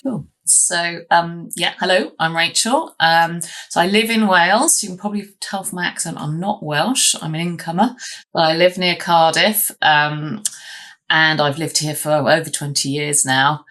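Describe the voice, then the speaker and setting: Low-voiced chatty woman in her fifties. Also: normal speed, a bit bright. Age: 50s. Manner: chatty, frequent ums and restarts. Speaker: guest, lawyer. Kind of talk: candid interview answers.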